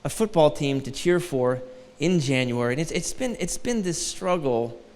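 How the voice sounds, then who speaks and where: A man's voice: fairly high pitch, animated, moderate pace, darkish tone. Male guest preacher, forties, thin light voice, self-mocking humor, reverberant hall. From Detroit. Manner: self-deprecating and joking, light nervous laughs. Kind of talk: sermon. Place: large church hall.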